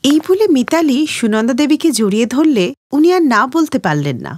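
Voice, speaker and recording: A woman's voice: high-pitched, very animated, moderate pace, a little mellow, breathy edge, no echo, clean studio sound. A woman giving scripted storytelling narration as the narrator of a tale.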